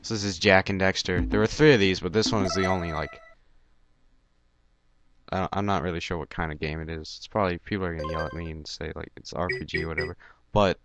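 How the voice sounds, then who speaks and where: A man's voice: low-pitched, fairly even intonation, fast, very noisy. A man hosting a let's play with casual, rambling, hesitant game commentary. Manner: hesitant, offhand. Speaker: gamer, let's play host. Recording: loud game music behind.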